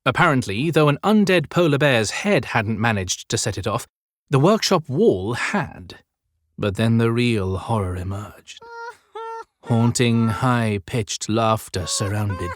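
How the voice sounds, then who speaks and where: A man's voice: mid-range voice, expressive, a little slow, no room sound. Man narrating dramatic fiction, dry studio sound. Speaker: audiobook narrator.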